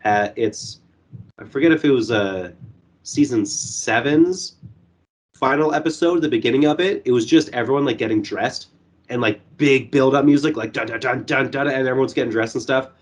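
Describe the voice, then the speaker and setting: Hoarse, rambling young man. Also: mid-range voice, moderate pace. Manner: rambling, profane, lots of fillers. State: hoarse, losing his voice. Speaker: movie reviewer guest. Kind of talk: casual podcast chat over a call.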